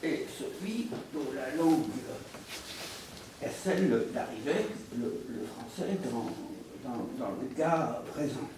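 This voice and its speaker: A man speaking deliberately and haltingly as a panelist at a round table on literary translation. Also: medium pitch, animated. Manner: deliberate, halting, pausing mid-sentence. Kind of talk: spontaneous round-table talk. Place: reverberant room at a round-table event. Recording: echoey room, distant mic, faint noise.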